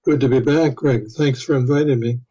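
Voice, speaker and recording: An older man, a pastor and grandfather, answering interview questions in a slow, measured way with frequent pauses. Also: medium pitch, fairly even intonation, mellow, breathy edge, muffled recording. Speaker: pastor and guest.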